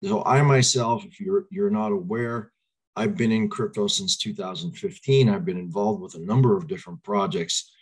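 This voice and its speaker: Man, 40s, low voice, a bit hoarse, upbeat webinar host on a webcam. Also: moderate pace, rough recording. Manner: upbeat, coaxes audience to reply in chat. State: slightly hoarse. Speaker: crypto presenter. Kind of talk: webinar presentation. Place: small home room, indoors. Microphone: webcam on video call.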